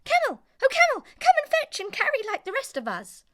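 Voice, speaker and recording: Brief: eager storybook dog, very high, loud and nagging. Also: female voice, wildly swooping pitch, brisk, no room sound, studio quality, full character voice. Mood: urging.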